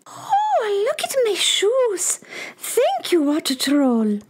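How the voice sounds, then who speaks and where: A tiny sparkly fairy in a children's radio play. The voice is squeaky and extremely high, airily drawn out with swooping pitch. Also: female voice, huge pitch swings, takes it slowly, noisy background, heavily acted. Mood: delighted. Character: tiny sparkly female fairy, airy and dismissive. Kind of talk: children's radio theater acting.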